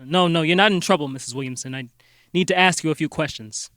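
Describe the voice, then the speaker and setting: A man plays an earnest amateur sleuth in a comic radio play. His voice sits a bit high and is recorded dry. He speaks politely and haltingly, with a folksy, slightly bumbling manner. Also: fairly bright tone, dry recording, lightly acted. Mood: businesslike, halting. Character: earnest small-town amateur detective, polite, a little bumbling. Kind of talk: comic radio-drama acting.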